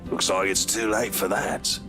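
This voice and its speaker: An actor playing a cheeky, sardonic, wine-loving married gentleman in a visual novel, flippant in manner. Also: sits low, fairly even intonation, normal speed, close to an everyday voice. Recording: music behind the voice.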